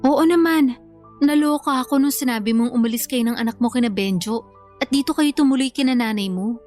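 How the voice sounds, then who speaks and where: A woman's voice: sits high, a little fast, a little mellow, soft background music, lightly acted. A woman playing a sensible, sympathetic friend who reasons and gives frank advice in a Filipino radio-style drama. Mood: concerned. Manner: reasoning, advising.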